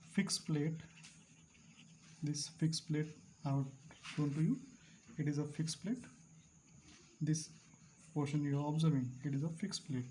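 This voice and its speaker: A male teacher explaining a device to students, repeating key terms slowly and clearing his throat now and then. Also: on the high side, darkish tone, a little hiss or hum, low-quality recording. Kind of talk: classroom lecture at a board.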